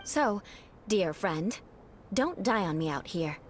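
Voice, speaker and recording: A woman's voice: mid-range voice, big pitch swings, slow pace, a little hiss or hum. A woman playing a haughty young knight in a video game, formal and theatrically stern, with talk of revenge that masks a caring side. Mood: teasing, mock vengeful.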